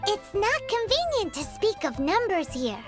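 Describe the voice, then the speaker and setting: A woman voicing a tiny, cheerful, excitable fairy companion in a video game, with exclamatory childlike delivery. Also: very high-pitched, measured pace, music in the background, heavily acted. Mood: eager, polite. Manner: speaks of self in the third person, exclamatory.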